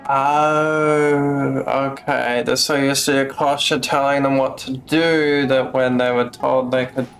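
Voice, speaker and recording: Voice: medium pitch, little pitch movement, slow pace. A game streamer giving casual, blunt, sweary live commentary. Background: game music.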